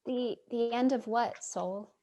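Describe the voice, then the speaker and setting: A woman playing a concerned, reflective passerby in a staged play reading, gentle and a little hesitant. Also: fairly high pitch, takes it slowly, slight background noise, poor audio. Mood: concerned, curious. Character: concerned female passerby narrating her encounters.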